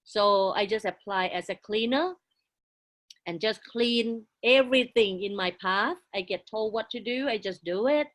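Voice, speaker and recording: A woman in her forties, English not her first language, telling her life story as a guest in halting, broken English with frequent restarts. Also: medium pitch, fairly bright tone. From outside Australia. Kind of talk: casual interview, life story.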